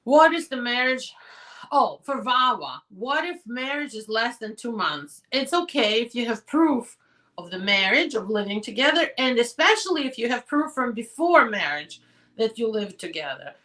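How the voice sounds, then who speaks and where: Hoarse bright-voiced woman attorney. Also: on the high side, sing-song, recorded from a distance. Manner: direct and advising, with quick practical answers. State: hoarse, losing her voice. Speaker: immigration attorney hosting a live show. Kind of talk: live Q&A, reading out viewer questions and answering.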